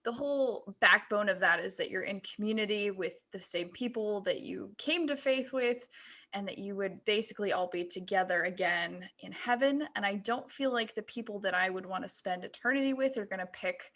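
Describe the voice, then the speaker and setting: Female podcast co-host, mid-pitched and bright, casual conversational delivery. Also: moderate pace. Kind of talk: casual panel banter.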